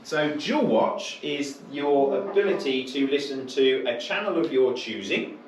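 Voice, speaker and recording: A man's voice: medium pitch, animated, measured pace. A British man working as an instructor, teaching informally and explaining step by step with short checking questions. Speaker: marine radio course instructor. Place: indoor training room. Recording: roomy, distant mic, faint noise.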